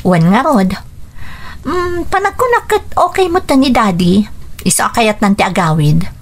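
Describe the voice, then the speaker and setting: An actress playing an affectionate, teasing young daughter in a radio drama, speaking with an Ilocano accent. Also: female voice, on the high side, big pitch swings, measured pace, exaggerated voice. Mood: teasing, hopeful. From Philippines.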